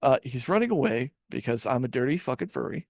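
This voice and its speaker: A man's voice: mid-range voice, big pitch swings, fast, darkish tone, no room sound, studio quality. A man hosting a casual reaction podcast, rambling with stammered restarts and swearing. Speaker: podcast host.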